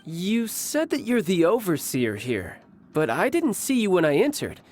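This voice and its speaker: Cocky smooth young fighter, anime game style, teasing, really lively pitch, faint music. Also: male voice, unhurried, full character voice. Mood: curious, genial. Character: suave playful young male warrior, cocky and teasing.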